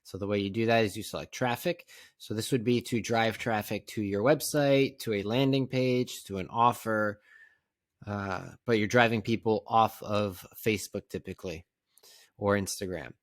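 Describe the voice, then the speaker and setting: A man narrating a casual step-by-step software tutorial in a plain, conversational, instructional way. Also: fairly low pitch, steady tone, moderate pace, no room sound, studio quality. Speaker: tutorial presenter.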